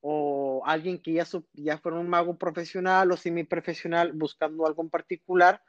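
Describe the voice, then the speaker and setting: A man, a little high-pitched for a man. He rambles through his stories with plenty of fillers. His voice comes over a dull, muffled line, dry and close. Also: little pitch movement, moderate pace, no room sound. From born in Texas, raised in northern Mexico. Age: 30s. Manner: rambling, storytelling, frequent fillers. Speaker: guest magician. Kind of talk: casual podcast interview.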